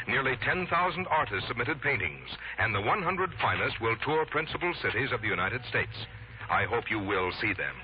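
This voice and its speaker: A man announcing in formal, polished 1940s radio style, reading scripted sponsor messages. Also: medium pitch. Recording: tinny narrow 1940s radio, music behind.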